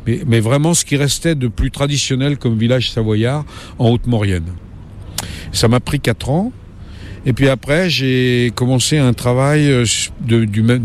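A man in his late sixties, a travel reporter, answering interview questions in a relaxed way, pausing often with fillers and restarting his sentences. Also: mid-range voice, slight background noise. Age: 60s. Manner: hesitant, many fillers and restarts.

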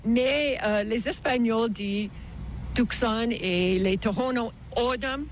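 Older Canadian woman, slow-spoken. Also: a little high. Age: 60s or older. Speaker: local guide, interview guest. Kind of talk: casual conversational introduction. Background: faint noise.

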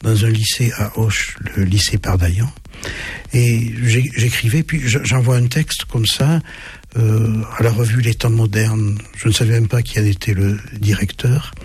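A man in his seventies whose first language is German, speaking in a very husky, breathy voice that sits low. He answers an interviewer thoughtfully but haltingly, stumbling and restarting often. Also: steady tone, a little mellow. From southwest France. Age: 70s. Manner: halting, many restarts and fillers. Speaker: guest author. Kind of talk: radio interview.

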